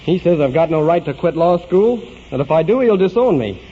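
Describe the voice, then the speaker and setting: Headstrong young American male student, high, animated voice, earnest vintage radio drama. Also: fairly quick, slightly rough. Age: young adult. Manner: earnest, insistent. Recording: muffled, noisy vintage radio recording.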